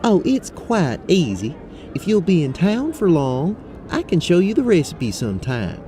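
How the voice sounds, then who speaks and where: A man's voice: a little high, wildly swooping pitch, a little slow, dark tone, slight background noise. A man voicing a polite, prim young female student in a fantasy audio drama. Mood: cheerful, polite.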